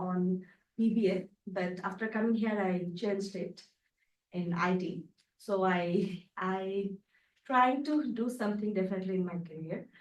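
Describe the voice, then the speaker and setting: A woman's voice: medium pitch, slight background noise. A woman, a graduate student hesitantly introducing herself. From Bangladesh. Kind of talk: self-introduction in a class. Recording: roomy, distant.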